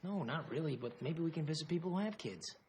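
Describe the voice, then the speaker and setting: A man's voice: on the high side, expressive, fast, noisy background. A man playing a self-centred, evasive husband in a comedy film, wheedling and defensive. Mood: pleading, grudging. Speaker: film character.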